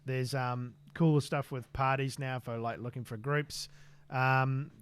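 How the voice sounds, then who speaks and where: A man's voice: mid-range voice, steady tone, measured pace, clear, resonant tone, no room sound. A man co-hosting a tech podcast in an easygoing, casual chat full of fillers and restarts. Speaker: podcast co-host.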